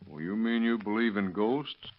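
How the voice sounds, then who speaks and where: A low male voice on a muffled old radio recording , an actor's. He is a tough, dogged plainclothes cop who goes on hunches, blunt and dry in the manner of 1930s crime drama. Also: normal speed, lightly acted. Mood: questioning. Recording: dull lo-fi vintage radio recording.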